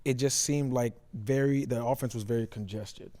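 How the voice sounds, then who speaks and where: A man's voice: medium pitch, fairly even intonation, moderate pace, warm tone. A man co-hosting a sports show with casual football analysis, repeating phrases for emphasis and checking in with tag questions.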